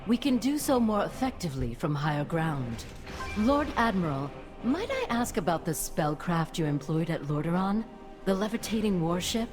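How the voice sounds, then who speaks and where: Refined elf sorceress, curious, courtly, very animated intonation, video game dialogue, music behind. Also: female voice, lowish voice, moderate pace. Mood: courtly, intrigued. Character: elegant, curious female elven arch-sorceress. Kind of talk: fantasy game cutscene acting.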